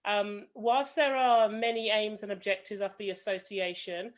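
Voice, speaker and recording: A woman's voice: medium pitch, fairly even intonation, normal speed. Female association president, British accent, semi-formal introduction, dull lo-fi call audio. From British of Jamaican family. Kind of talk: semi-formal spoken introduction on an online meeting. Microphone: video call.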